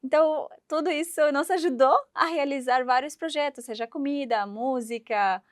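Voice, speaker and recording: A woman in her thirties from Syria, speaking in a fairly high voice. She tells her story with animation, acting out the voices of the people in it. The sound is dry and close. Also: moderate pace, dry recording. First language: Arabic. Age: 30s. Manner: animated, acts out quoted voices, laughs while telling. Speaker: guest. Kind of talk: storytelling interview.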